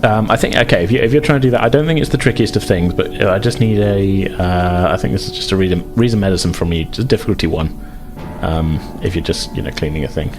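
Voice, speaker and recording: A man's voice: low-pitched, fast. A man narrating an improvised tabletop game as game master, explaining rules and scenes in a tentative, meandering way. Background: music under the voice.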